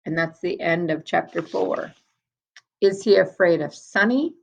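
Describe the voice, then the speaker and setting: A woman reading a storybook aloud like a teacher, with deliberate, expressive delivery and asides to her young listeners. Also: lowish voice, creaky, poor audio.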